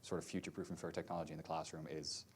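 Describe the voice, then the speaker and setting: A man with a very deep voice talks casually as a panel speaker, with a flat, level delivery. He fills his sentences with "sort of" and "like", and there is a faint hum behind him. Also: rapid-fire. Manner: chatty, many fillers like "sort of" and "like".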